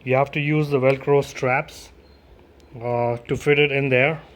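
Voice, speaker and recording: A man's voice: medium pitch, steady tone, unhurried. A man giving a casual, informal unboxing and demo as a gear reviewer, addressing his viewers directly.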